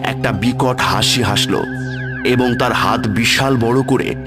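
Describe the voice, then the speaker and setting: Mid-pitched man narrating over loud music. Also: takes it slowly. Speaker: storyteller, narrator. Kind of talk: scripted horror story narration. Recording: loud music with cricket sounds behind.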